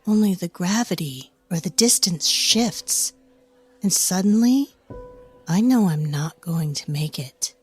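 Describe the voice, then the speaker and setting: Brooding female storyteller, lowish pitch, breathy, dramatic audio drama narration, unhurried. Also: animated, darkish tone, exaggerated voice. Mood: brooding, hushed. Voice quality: breathy, husky, near whisper at times. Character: brooding, haunted female storyteller of dark fantasy. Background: music.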